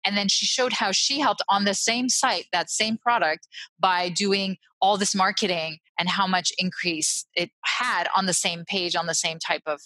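Woman, 40s, interview guest. Blunt, animated, bright and punchy, dry close headset sound. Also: medium pitch, moderate pace, no room sound, low-quality recording. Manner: blunt, animated, self-interrupting, laughs often. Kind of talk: casual interview over video call. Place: small room, indoors. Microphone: headset mic.

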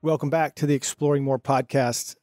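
A man in his fifties hosts a podcast with relaxed, affirming chat. His voice sits at a middle pitch. It sounds dry and close, with no room echo. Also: fairly quick. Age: 50s. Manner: affirming, conversational, frequent agreement. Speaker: podcast host. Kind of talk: casual chat.

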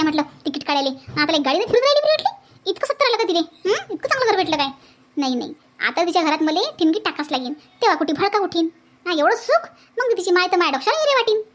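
A woman's voice: extremely high pitch, big pitch swings, racing. A woman voicing a jealous, boastful middle-aged village aunt in a comic cartoon, with a Varhadi Marathi accent and a nosy, scornful manner. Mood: scornful, spiteful. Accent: Varhadi Marathi from Vidarbha. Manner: nosy, scornful, muttering to herself.

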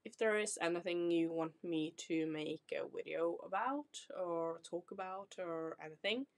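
A woman's voice: on the low side, normal speed. A young Norwegian woman in her twenties with a Norwegian accent, chatting casually to camera about herself, apologetic and reassuring. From Norway. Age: 20s. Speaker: vlogger. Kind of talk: casual self-introduction to camera. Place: small decorated room indoors.

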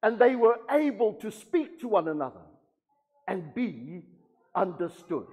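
Priest, male, unhurried homily delivery in a reverberant church. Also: sits high, sing-song, darkish tone, slightly rough. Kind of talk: homily to a congregation. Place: church sanctuary.

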